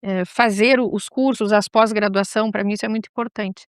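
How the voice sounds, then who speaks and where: A woman's voice: mid-range voice, fairly even intonation, a little fast, clean tone, no room sound, clean studio sound. A woman with a gaúcho accent from southern Brazil hosting a talk show, chatting casually, digressing and speaking straight to her viewers. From Rio Grande do Sul, Brazil.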